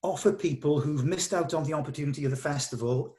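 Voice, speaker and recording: A man's voice: a little high, fast, mellow. A man talking casually and encouragingly, like a director leading a production meeting. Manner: enthusiastic, encouraging. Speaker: director leading a script read-through.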